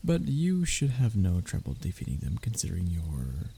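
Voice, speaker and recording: A man's voice: low-pitched, huge pitch swings, moderate pace, gravelly, warm tone, husky, heavily acted. A man playing a young royal heir in a roleplay audio drama, formal and pompous in his wording but often faltering into nervous stammers as he addresses the listener. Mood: persuasive.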